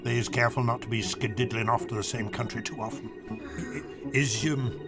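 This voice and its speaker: An actor playing a kindly, bumbling old giant in a children's audio drama, talking in quaint, muddled grammar. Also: male voice, mid-range voice, steady tone, brisk, over loud music, close to an everyday voice. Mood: gentle, relieved.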